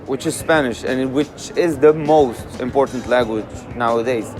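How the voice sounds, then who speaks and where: A young man from Morocco answers questions in a relaxed, candid way. He leans on plenty of "uh"s as he thinks aloud. His voice sits at an ordinary middle pitch, with a clear music bed underneath. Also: normal speed. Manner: candid, easygoing, uses many fillers. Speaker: interviewee. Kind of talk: casual interview answers.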